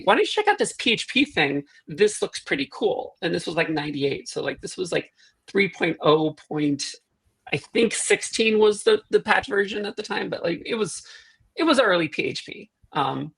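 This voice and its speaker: A woman guest in a casual interview, rambling with quick self-deprecating asides. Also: sits low, vocal fry, a bit bright, slightly rough. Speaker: guest, programming language developer.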